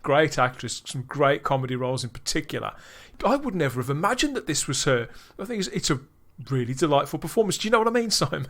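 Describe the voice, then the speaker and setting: Brief: male podcast host, slightly higher voice, keen and chatty, keeps asking the others. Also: sing-song, quick pace, a bit bright. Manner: enthusiastic, drawing in co-hosts with tag questions. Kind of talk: casual review discussion.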